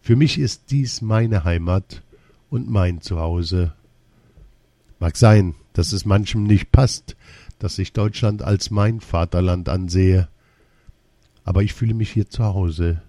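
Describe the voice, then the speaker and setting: A man reading a first-person personal essay aloud in a slow, measured, deliberate way. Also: low voice, mellow, no echo.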